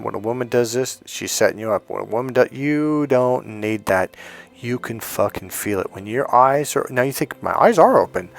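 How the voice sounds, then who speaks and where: A man coaching other men on dating in a blunt, emphatic rant, swearing often. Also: lowish voice. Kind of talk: casual profane rant to camera.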